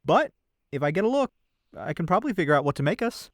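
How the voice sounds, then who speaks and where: A man's voice: fairly high pitch, big pitch swings, quick pace, no echo, studio quality. A man playing an easygoing, helpful adventurer in an audio drama, with casual, friendly phrasing. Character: easygoing, helpful male adventurer and camp cook.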